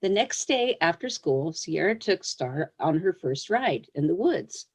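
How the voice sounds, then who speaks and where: Low-pitched woman, children's author. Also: sing-song, unhurried. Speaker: children's book author, guest. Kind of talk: storybook read-aloud, then casual interview answers.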